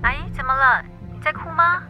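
An actress playing a caring young niece in a radio play, soothing and reassuring. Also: female voice, high-pitched, fast, dull audio, soft background music, natural voice.

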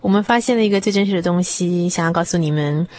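Lower-voiced woman as radio guest. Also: fast talker, darkish tone, no room sound.

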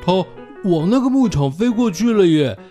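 A man's voice: high-pitched, expressive, normal speed, mellow, soft background music. A man voicing a chatty, easily amazed bee in a children's radio play, gushing and exclaiming. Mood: puzzled.

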